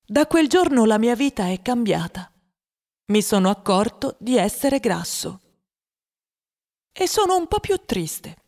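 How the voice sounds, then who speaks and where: A woman's voice: on the high side, big pitch swings, measured pace, long pauses, a little mellow. An actress playing a wistful, dreamy, overweight young boy who confides his story in a radio drama monologue. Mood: sad, longing.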